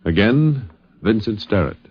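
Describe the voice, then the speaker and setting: A man's voice: fairly low pitch, expressive, a little slow, husky. A man narrating a scripted 1950s radio documentary and introducing its speakers. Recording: muffled, band-limited 1950s radio recording.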